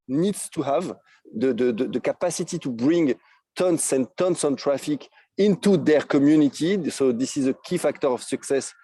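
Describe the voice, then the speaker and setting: Podcast guest: French man in his thirties, heavy accent, mellow warm voice, halting and eager, dry close sound. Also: a little high, no echo. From France. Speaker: guest, NFT project creator. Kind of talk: podcast interview.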